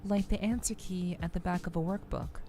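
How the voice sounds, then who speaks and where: Dark, creaky-voiced low-pitched woman streamer. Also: fairly even intonation, brisk. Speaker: streamer, VTuber. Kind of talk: game livestream, reading dialogue aloud and commenting. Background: faint background music.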